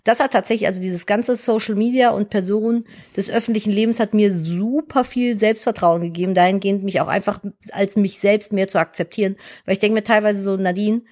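Female podcast co-host: young adult, mid-pitched, lisping and chatty, full of fillers. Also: fairly even intonation, fairly quick, hardly any pauses, a little mellow. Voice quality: self-described deep voice with a lisp. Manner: chatty, candid, frequent fillers like "ne?". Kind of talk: casual podcast chat.

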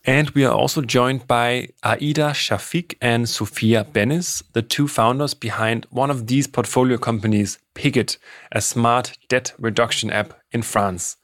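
A man hosting a podcast, reading measured introductions and posing questions. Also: mid-range voice, fairly even intonation, fairly bright tone, dry recording, clean studio sound. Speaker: podcast host.

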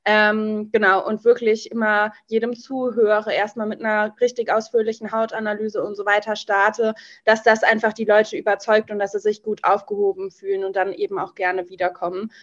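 A woman's voice: medium pitch, flat delivery, moderate pace, hardly any pauses, dull audio. A woman in her early twenties chatting casually as a podcast guest, bubbly and slangy, with many hesitant fillers. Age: early 20s. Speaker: podcast guest, beautician and entrepreneur. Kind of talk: casual interview chat.

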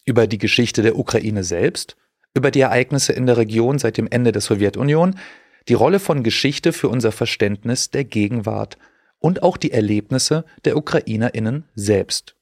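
A man's voice: medium pitch, darkish tone, no room sound. Man hosting, dry and close. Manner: measured, reading from a script. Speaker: podcast host. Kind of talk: scripted podcast narration.

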